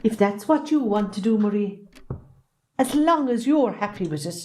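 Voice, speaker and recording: A woman's voice: mid-range voice, animated, moderate pace, a little mellow, a little hiss or hum, lightly acted. An Irish woman acting a weary, anxious middle-aged farm mother in a stage drama, resigned and reproachful. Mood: worried.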